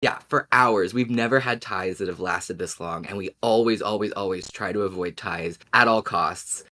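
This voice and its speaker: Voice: low-pitched, moderate pace, pushed and bright. Casual, emphatic podcast co-host.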